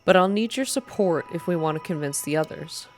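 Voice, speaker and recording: Calm, earnest activist man voiced by a woman. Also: sits low, fairly quick, slight background noise. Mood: persuasive. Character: calm, earnest male activist leader, persuasive.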